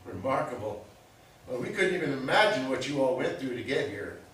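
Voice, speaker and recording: A man's voice: mid-range voice, big pitch swings, brisk, fairly bright tone. A man playing an oblivious, defensive older father in a live staged play reading, blustering and protesting. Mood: earnest awe. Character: oblivious, defensive family father, a little forgetful. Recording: very echoey room, distant mic, hiss.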